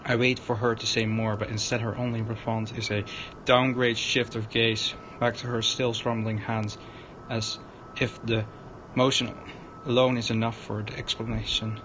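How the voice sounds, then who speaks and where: A man narrating a visual novel aloud on a livestream, dramatic but halting, stumbling over the written words. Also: little pitch movement. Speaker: streamer, narrator. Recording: noisy room with low rumble.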